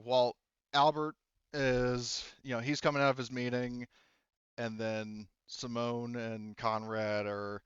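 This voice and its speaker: A man narrates a tabletop roleplaying game as game master. His voice is smooth and mid-pitched, and he talks in a halting, rambling way. The recording is dry and a little muffled. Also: fairly bright tone, no echo. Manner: halting, rambling, self-correcting.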